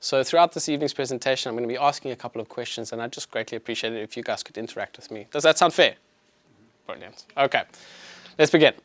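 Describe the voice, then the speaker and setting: A South African man in his thirties giving an evening health talk to a small audience. He talks in a friendly, conversational way, full of little fillers. His voice sits at a middle pitch, and the sound is dry with no room echo. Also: fast, fairly bright tone. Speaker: chiropractor giving an evening presentation. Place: clinic presentation room.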